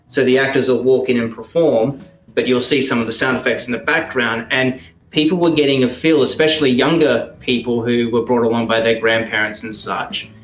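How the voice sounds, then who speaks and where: A man with an Australian accent giving conversational interview answers in a halting way, as if thinking aloud. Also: mid-range voice, a bit bright, reverberant, low-quality recording. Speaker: radio play producer and performer, interview guest.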